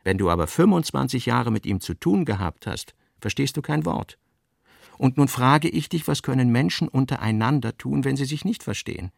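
A man's voice: medium pitch, lively intonation, normal speed, gravelly, no echo, studio quality, pitched above the performer's own voice. A male narrator voicing an earnest middle-aged businessman father, who argues insistently and rhetorically with his daughter in a literary radio drama. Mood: forceful, argumentative.

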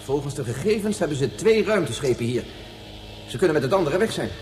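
An actor playing a level-headed, authoritative spaceship commander in a science fiction radio play, speaking in a matter-of-fact, instructing way. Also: male voice, on the high side, very animated, fast, darkish tone. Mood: explaining.

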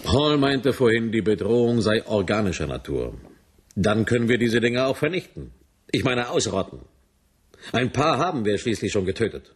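An actor playing a stern, proud veteran army major in a radio drama, with a husky voice and a clipped military manner. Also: male voice, on the low side, lively intonation, moderate pace. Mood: resolute, commanding. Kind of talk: German science fiction radio drama acting.

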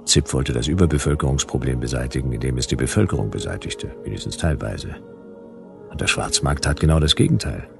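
A deep-voiced private eye narrates a sci-fi radio play. He is dry, wry and laconic in a hard-boiled way. Faint music sits behind him. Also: expressive, fairly quick, gravelly, heavily acted. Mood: wry, matter-of-fact. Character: laconic, wry male private detective narrating his case.

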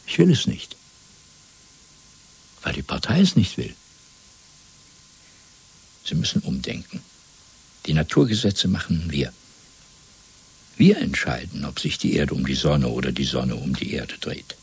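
An actor playing a coldly domineering party interrogator in a German radio play, lecturing in a measured, zealous way with a gravelly, husky voice. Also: male voice, very deep voice, very animated, heavily acted, poor audio. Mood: fanatical fervour, then cold, controlled. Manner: measured, lecturing, swelling from hushed to fervent.

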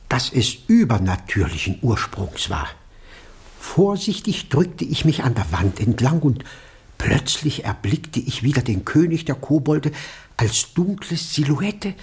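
An actor playing an eccentric, awed eyewitness in a German radio drama, telling of a ghostly sighting haltingly and theatrically, with breathy hushed passages. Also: male voice, mid-range voice, exaggerated voice. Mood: hushed awe.

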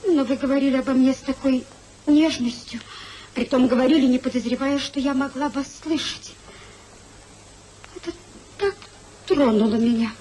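Woman playing a high-voiced, proud young wife. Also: warm tone, natural voice, low-quality recording. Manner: dignified, emphatic, theatrical. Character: proud, spirited young society wife, earnest. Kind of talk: 1950s radio stage-comedy acting. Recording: hissy 1950s radio play recording.

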